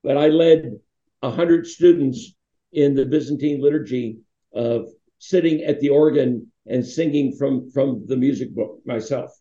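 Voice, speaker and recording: An American man in his sixties with a very dark, mellow voice recounts memories as an interview guest. He rambles from one anecdote to the next, and the sound is echoey and a little dull. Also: mid-range voice, measured pace. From Pittsburgh, United States. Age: 60s. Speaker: interview guest, foundation founder. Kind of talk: reminiscing in a conversational interview. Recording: dull, roomy, echoing sound.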